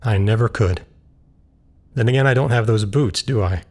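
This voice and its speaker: Actor as a husky, grieving, devoted man. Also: lowish voice, normal speed, a little mellow, natural voice. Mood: sad, gentle. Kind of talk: audio drama acting.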